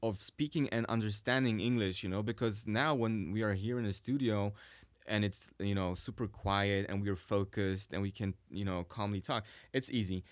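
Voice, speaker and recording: A man with a Polish accent talks casually as a podcast guest, his voice sitting fairly low. He hesitates often, restarting and filling gaps with "uh" and "like." The studio sound is dry and close. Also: normal speed, no echo. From Poland. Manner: hesitant, many fillers and restarts. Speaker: cinematographer guest. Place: quiet studio.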